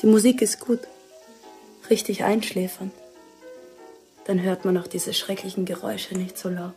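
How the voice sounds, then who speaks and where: A woman's voice: mid-range voice, fairly even intonation, moderate pace, dark tone, soft background music, lightly acted. A woman playing an insistent, dismissive mother of a grown daughter in a radio drama. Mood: weary, drowsy. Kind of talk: German radio drama acting.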